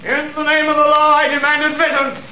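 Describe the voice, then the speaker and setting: Stern police officer, high voice, barking orders, old radio drama, music behind, roomy recording. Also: male voice, monotone, brisk. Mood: commanding. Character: stern commanding police officer, middle-aged man. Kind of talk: 1930s radio drama acting.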